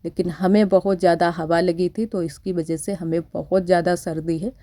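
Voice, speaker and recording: Young village housewife vlogger, low-ish mellow voice a bit thick from a cold, chatty and homely, dry close recording. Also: female voice, steady tone, normal speed, dry recording. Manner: chatty, homely, repeats fillers. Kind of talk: casual narration over daily chores. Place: village home.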